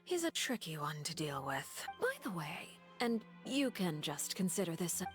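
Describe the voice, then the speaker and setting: A commanding, calculating woman from a video game. Her voice sits low and her speech is formal, deliberate and composed. Soft music plays underneath. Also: big pitch swings.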